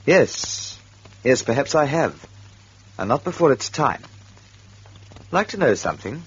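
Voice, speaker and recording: A man's voice: medium pitch, sing-song, measured pace, slightly rough, heavily acted. An actor playing an embittered, defensive man accused of murder, insistent and sardonic, in a radio drama. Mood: bitter, pressing. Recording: dull lo-fi radio recording.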